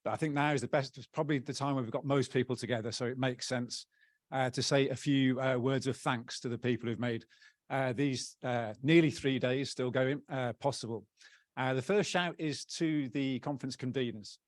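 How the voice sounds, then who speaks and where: A man at a conference podium gives closing thanks in an upbeat, joking way, often pausing and restarting mid-sentence. His voice sits in an ordinary middle range. The sound is dry and close, with almost no room echo. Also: medium pitch, fairly even intonation. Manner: upbeat, joking, halting with many fillers. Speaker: outgoing association president. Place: conference hall plenary.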